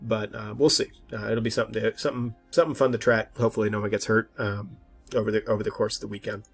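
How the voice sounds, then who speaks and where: A man with a slightly stuffed-up voice presenting an informal weather forecast as host, often halting and restarting as he thinks aloud. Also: fairly low pitch, fairly even intonation, vocal fry, faint music behind.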